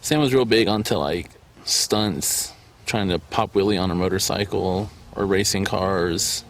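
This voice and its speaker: A man's voice: sits low, measured pace. A man speaking casually and plainly in an interview. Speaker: interviewee, former partner.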